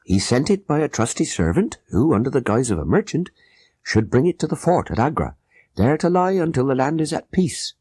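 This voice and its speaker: Male narrator as grave Sikh guard in an audiobook; formal, urgent, husky, with wide swoops. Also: mid-range voice, very animated, brisk, a little mellow, no echo, studio quality, voice pushed up. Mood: solemn persuasion. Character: grave conspiring Sikh guard, firm and persuasive.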